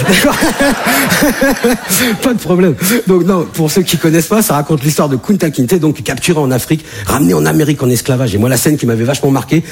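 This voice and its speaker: A man doing a stand-up comedy set on a club stage, animated, with big jumps in voice for punchlines. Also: breathy edge. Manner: animated, jumps high for punchlines and acted-out quotes. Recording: live club audience laughter. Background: audience laughter.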